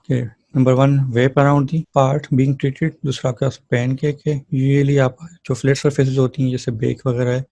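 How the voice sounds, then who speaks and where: A man's voice: medium pitch, warm tone, no room sound. A man lecturing as a physiotherapy teacher, explaining things step by step in an informal way and often checking in with listeners.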